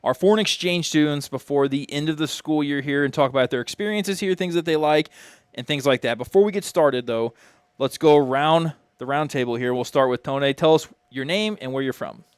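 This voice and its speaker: A man's voice: a little high, a little fast, clean tone, dry recording. A man hosting an interview for a school TV studio, asking guests questions. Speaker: interviewer and host. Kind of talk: student TV interview.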